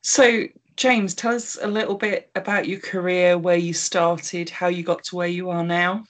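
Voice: mid-range voice, little pitch movement, normal speed. A podcast host leading a casual interview, laughing easily and prompting the guest with questions.

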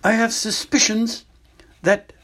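A man voicing a calm, grave old male wizard headmaster in a gentle, measured way, with a husky voice. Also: sits high, voice pushed up, exaggerated voice.

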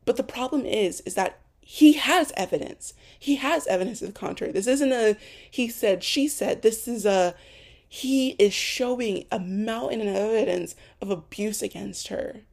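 Young woman, podcast host, rambling monologue, voice going hoarse, gravelly, mellow. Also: on the high side, moderate pace. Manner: rambling, with frequent restarts and ums. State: losing her voice. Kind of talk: unscripted solo podcast monologue. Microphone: home recording for an audio podcast.

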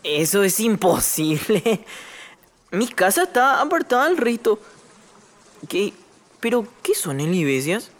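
A woman plays a ten-year-old boy in an audio drama. He is lonely and full of doubt, speaking at a middling pitch. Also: big pitch swings, takes it slowly, pauses often. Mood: wary, puzzled.

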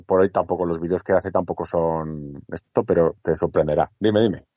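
A man talks in a very low voice, rambling and repeating words as he chats casually. The sound is dry and somewhat dull, lo-fi. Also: steady tone, normal speed, warm tone, dry recording. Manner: chatty, repeats words, many fillers and restarts. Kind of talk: casual chat.

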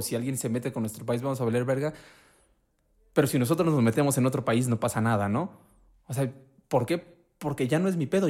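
Animated slangy Mexican man. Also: mid-range voice. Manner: slangy, profane and animated, acts out quotes. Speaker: podcast co-host. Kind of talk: casual conversation.

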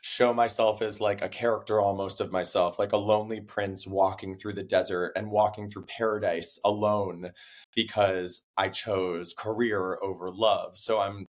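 Hoarse young man telling a story. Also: normal speed. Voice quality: deeper than usual. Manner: animated storytelling, acts out his own quotes. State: hoarse from laryngitis. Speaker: singer-songwriter guest. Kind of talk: casual interview chat.

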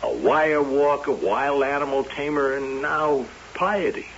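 A man's voice: medium pitch, expressive, a bit bright. An actor playing a nervous, stammering male carnival worker in a radio drama. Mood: uneasy. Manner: halting, stammering. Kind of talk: 1970s radio drama acting. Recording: hissy, dull old radio broadcast.

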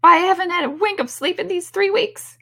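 A woman's voice: fairly quick, bright, forceful tone, heavily acted. A woman voicing a frantic, scolding mother pigeon from a storybook, screeching and exasperated. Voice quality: shrill, screeching. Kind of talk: storybook character voice.

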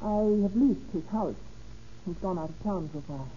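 A woman's voice: medium pitch, normal speed, warm tone, close to an everyday voice. An actress playing a cold, scheming, murderous woman in a theatrical, commanding old-time radio drama style. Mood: feigned casual. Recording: dull, noisy old radio recording.

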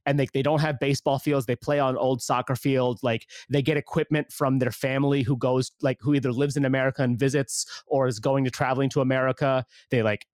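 A man's voice: mid-range voice, level tone, a little fast, hardly any pauses, darkish tone, no echo, clean studio sound. A man hosting a casual podcast, chatting loosely with plenty of fillers and restarts. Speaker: podcast host.

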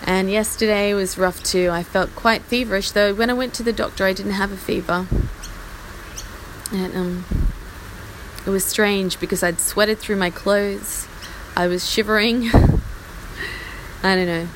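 An Australian woman in her forties, husky from a chest infection, rambling through a self-deprecating update from a small outdoor patio. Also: medium pitch, steady tone, unhurried, noisy background. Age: 40s. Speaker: podcast host. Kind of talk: casual personal update. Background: faint rain.

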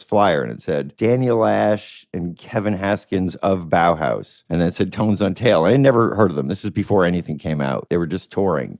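Man in his fifties, very deep and lively voice, rambling profane storyteller, dry close mic. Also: vocal fry, no room sound. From Connecticut. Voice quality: deep masculine voice. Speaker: podcast guest musician. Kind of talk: casual chat.

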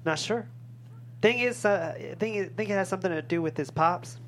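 A woman's voice: mid-range voice, moderate pace, a little hiss or hum, natural voice. An actress playing a bawdy, teasing older small-town woman in a comedy script table read. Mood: frank.

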